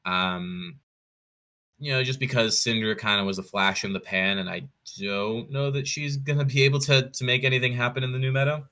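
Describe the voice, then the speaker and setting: A man talking casually as an interview guest, in a measured, analytical way, thinking aloud as he goes. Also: medium pitch, bright, forceful tone. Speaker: podcast guest, competitive card game player.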